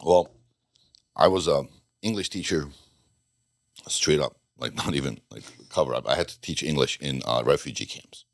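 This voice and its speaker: A man's voice: very deep voice, moderate pace, gravelly, pauses often, no echo. A middle-aged man from Bosnia, a guest telling his story in a casual interview, rambling and restarting sentences with dry jokes. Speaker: podcast guest, former undercover FBI agent.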